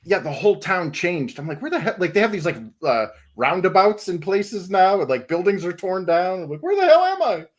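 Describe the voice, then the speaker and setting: A man's voice: high voice, very animated, fairly quick, hardly any pauses. A man from New England telling rambling stories in a casual panel chat, laughing often as a comic creator guest.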